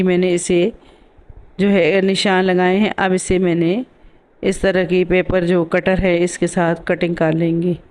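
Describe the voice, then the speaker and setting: A woman's voice: fairly low pitch, darkish tone. Woman narrating with a sore throat. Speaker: craft video host. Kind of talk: casual DIY tutorial voice-over.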